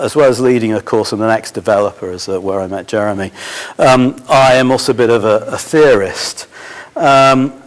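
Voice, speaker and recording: A man giving a conference talk as a film school course leader, halting and full of fillers and restarts, his voice a little hoarse. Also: mid-range voice. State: slightly hoarse.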